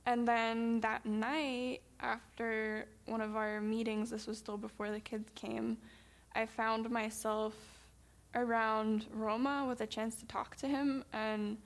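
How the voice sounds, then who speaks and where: A young woman from the United States talks in a relaxed, story-telling way, filling pauses with ums and breaking into little laughs. Her voice sits a bit high for a woman and has a noticeable creaky fry. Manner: chatty, many ums, laughs while talking. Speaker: missionary reporting back. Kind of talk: casual storytelling presentation.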